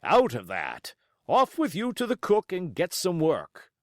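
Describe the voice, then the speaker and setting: A man's voice: sits high, wildly swooping pitch, measured pace, no echo, clean studio sound, pitched above the performer's own voice, exaggerated voice. A man voicing a stern, blunt and dutiful sea captain in an audiobook, speaking formally and with curt emphasis. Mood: barking orders.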